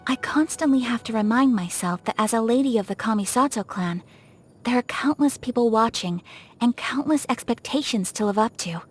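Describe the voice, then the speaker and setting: A woman's voice: a little high, moderate pace, breathy edge, faint music behind. A woman voicing a refined, reserved young noblewoman of a samurai clan in a video game, speaking formally and politely with poise. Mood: reserved, wistful. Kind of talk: scripted game character voice lines.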